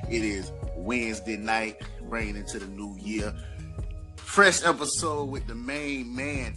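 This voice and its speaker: A man hosting a podcast, chatting casually with an animated, hyped-up delivery. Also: fairly low pitch. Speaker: podcast host.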